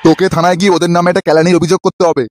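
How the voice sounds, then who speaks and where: A man's voice: high-pitched, level tone, rapid-fire, background noise, close to an everyday voice. A man voicing a hot-tempered, bossy cartoon policeman who barks orders and threats. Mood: stern, threatening. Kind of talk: comedy cartoon dubbing.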